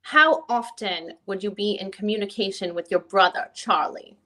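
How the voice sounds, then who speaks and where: A woman reading the part of a lawyer who questions a witness in a formal deposition, with halting, probing questions. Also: mid-range voice, pushed and bright, natural voice. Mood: questioning. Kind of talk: dramatic reading of a deposition transcript.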